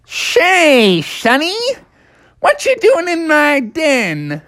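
Cranky old storybook groundhog, high strained voice, folksy, self-important, swooping pitch. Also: male voice, wildly swooping pitch, very slow, fairly bright tone, no echo, clean studio sound, exaggerated voice. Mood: nagging. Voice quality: high, strained small-critter voice. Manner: pompous, folksy, indignant.

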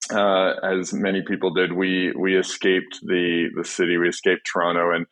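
A middle-aged man with a very deep voice answers interview questions. He is articulate and friendly, laying out his points in an organized way with the odd filler. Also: level tone, normal speed, a bit bright, rough recording. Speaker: guest, organization CEO. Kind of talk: podcast interview.

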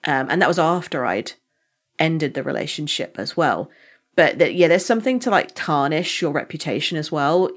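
Candid British woman, low voice. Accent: British English. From United Kingdom. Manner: candid, reflective storytelling with frequent restarts. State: losing her voice. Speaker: podcast co-host. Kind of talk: casual chat.

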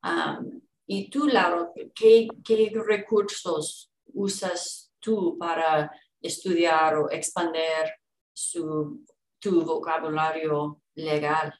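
A woman's voice: deep voice, extremely slow, a little hiss or hum. A woman training as a legal interpreter, chatting hesitantly with many fillers. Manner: hesitant, filler-heavy, laughs lightly. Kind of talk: casual conversation. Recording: echoey room, distant from the mic.

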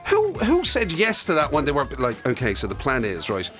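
A man's voice: mid-range voice, huge pitch swings, moderate pace, a bit bright, soft background music. A middle-aged Irish man chatting casually as a podcast co-host, in an incredulous, bantering way. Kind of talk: casual comic chat.

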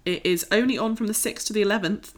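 A woman's voice: mid-range voice, fast. Woman, chatty podcast co-host. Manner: chatty, informal. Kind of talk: casual chat, reading out festival listings.

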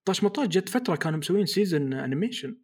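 A man's voice: high voice, lively intonation, a little mellow, slightly rough. A young man co-hosting a podcast, joking and teasing in casual chat. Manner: joking, fast-talking, teasing. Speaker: podcast co-host.

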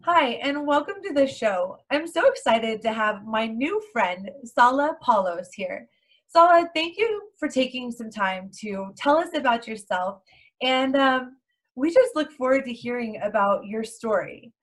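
A woman's voice: on the high side, lively intonation, unhurried. A woman hosting an interview show, welcoming her guest in an enthusiastic, effusive manner. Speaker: podcast host.

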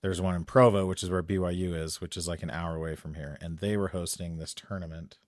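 A man in casual chat, telling a long story that gets louder and more animated as it goes. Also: low voice, fast talker, dry recording, clean studio sound. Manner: animated storytelling, rising loud when excited.

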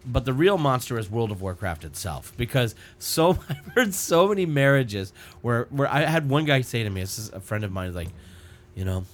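Animated male storyteller on a podcast. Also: mid-range voice, normal speed. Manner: animated storyteller, acts out dialogue. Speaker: podcast guest. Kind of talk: casual chat, telling anecdotes.

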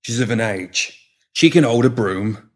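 A man's voice: medium pitch, lively intonation, measured pace, close to an everyday voice. A man voicing a cruel, gnarly slum uncle who snarls in coarse speech, in a storybook reading. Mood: snarling.

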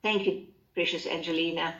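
A woman sharing her faith in a casual talk, earnest and halting, often pausing with fillers. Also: lowish voice, steady tone, fairly bright tone, roomy sound, lo-fi sound. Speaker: guest co-host.

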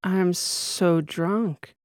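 A man's voice: high-pitched, fairly even intonation, dry recording, clean studio sound, voice pushed up. A man putting on a slurring drunk socialite woman. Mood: drunk pleading. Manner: slurred, wheedling, drawn-out words. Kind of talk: audiobook character voice.

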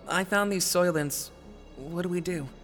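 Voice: mid-range voice, moderate pace, lightly acted. A performer playing a frightened, questioning young child in an audio drama. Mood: anxious, subdued. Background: music.

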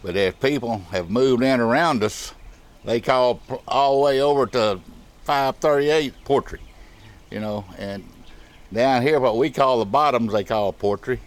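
A man's voice: medium pitch, measured pace. A man in his mid-seventies, a local resident, recalling his town in a plain, folksy way in an interview. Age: 70s. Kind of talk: casual interview.

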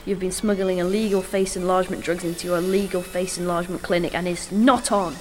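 A woman's voice: on the low side, fairly even intonation, quick pace, darkish tone, slight background noise, close to an everyday voice. A female performer playing a righteous, pompous talking banana agent in an amateur comic radio play, declamatory and officious. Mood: stern.

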